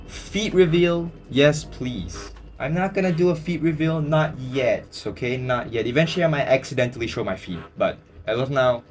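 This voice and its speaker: A young man, talking at an ordinary middle pitch. He rambles through viewer questions in a loose, joking way, dropping slang and filler words. A plucked guitar tune plays clearly behind him. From Singapore. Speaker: YouTuber answering viewer questions. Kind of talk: casual Q&A chat. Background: guitar music.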